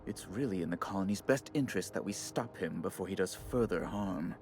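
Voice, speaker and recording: An actor playing an effusive, melodramatic colonial official in a video game, theatrical, florid and fussily apologetic. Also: male voice, sits low, a little fast, darkish tone, soft background music, lightly acted. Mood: pressing, earnest. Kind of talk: video game character acting.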